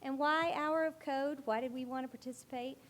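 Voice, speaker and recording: Loud-voiced woman presenting. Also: sits high, fairly even intonation, brisk. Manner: loud, carrying voice. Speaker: school librarian presenting to a school board. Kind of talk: presentation to a board meeting.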